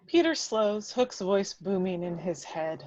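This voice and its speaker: Female narrator for screen action, dramatic and very unhurried, slightly muffled, very dry mic. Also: mid-range voice, animated, darkish tone, no room sound. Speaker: script narrator. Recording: dull lo-fi, dry microphone.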